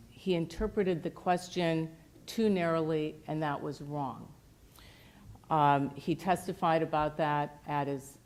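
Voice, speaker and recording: Low-voiced woman attorney with a cold. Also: darkish tone. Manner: formal, orderly, brisk. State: has a cold. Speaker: defense attorney. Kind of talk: legal argument.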